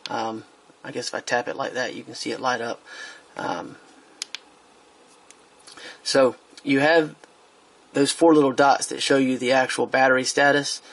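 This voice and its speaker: A man's voice: medium pitch, fairly even intonation, a little slow, vocal fry, long pauses, fairly bright tone. A man giving a casual product review while he handles a speaker on a tabletop, hesitant, with many fillers and restarts.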